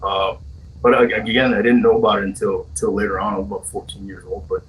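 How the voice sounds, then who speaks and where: A man in his forties from Hawaii, telling his own story as a guest in a casual, halting way with many restarts and fillers. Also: on the low side, little pitch movement, distant mic, poor audio. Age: 40s.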